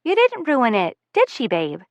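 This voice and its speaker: A woman voicing a supportive teenage girl friend who talks in a lively, encouraging way. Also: sits high, unhurried, no echo, muffled recording, pitched above the performer's own voice, full character voice.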